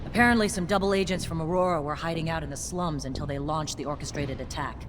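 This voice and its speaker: Woman voicing tough military officer, game dialogue, deep female voice, pragmatic, authoritative, noisy ambience behind. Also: fast talker, lightly acted. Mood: grim briefing. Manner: matter-of-fact, authoritative.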